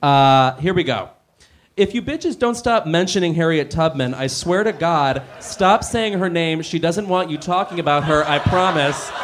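A man's voice: fairly high pitch, lively intonation, normal speed, a little hiss or hum. A man co-hosting a podcast, running a comedic quiz in a playful, self-mocking way. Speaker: podcast co-host.

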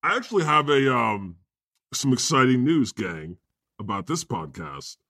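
A man's voice: medium pitch, very animated, a little slow, bright, forceful tone, dry recording, studio quality. A man hosting a comedy podcast in casual, joking chat with a teasing, wry manner.

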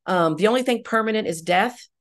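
A woman's voice: mid-range voice, a little fast. A middle-aged woman speaking as an interview guest, candid and emphatic in a reflective conversational way. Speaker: guest, audio drama writer and director.

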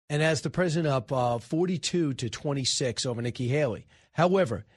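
A man's voice: on the high side, no echo, clean studio sound. An American man hosting live talk radio, giving rambling, conversational commentary and correcting himself as he goes. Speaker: radio show host. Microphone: close studio microphone.

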